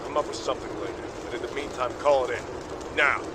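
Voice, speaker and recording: A man's voice: mid-range voice, steady tone, fast talker, close to an everyday voice. An actor playing a vengeful policeman in a horror drama, curt and commanding in his delivery. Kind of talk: horror audio drama acting. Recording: very noisy scene with sirens.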